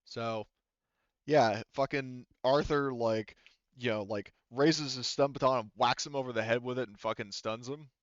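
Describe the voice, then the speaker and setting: A man's voice: medium pitch, moderate pace, no echo, dull audio. A man casually running a tabletop sci-fi game as game master, talking informally and swearing freely. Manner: informal, profane.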